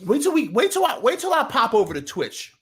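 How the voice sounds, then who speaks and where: High-voiced jersey guy streaming sports talk. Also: wildly swooping pitch, brisk, a bit bright. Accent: New Jersey. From New Jersey. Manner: profane, rambling, repeats and restarts a lot, greets chat. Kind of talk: casual live stream chat.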